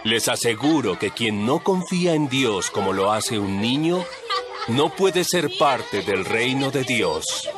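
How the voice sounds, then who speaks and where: Calm wise male teacher, audio bible drama, solemn and emphatic, very lively pitch swings, music behind. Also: takes it slowly, a crowd in the background. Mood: gentle insistence.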